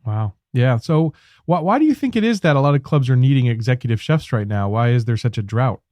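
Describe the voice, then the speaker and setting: Mellow-voiced male radio host. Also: mid-range voice, expressive, fairly quick, no room sound. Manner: friendly, chatty, with frequent fillers and restarts. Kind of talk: casual interview chat.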